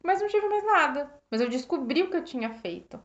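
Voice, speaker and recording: A Brazilian woman with a slightly hoarse voice, reflecting casually on a book for her live viewers, with many restarts, thanking people by name. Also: sits high, big pitch swings, brisk. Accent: Brazilian Portuguese. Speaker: host reading and reflecting on a self-help book. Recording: roomy, dull lo-fi live stream.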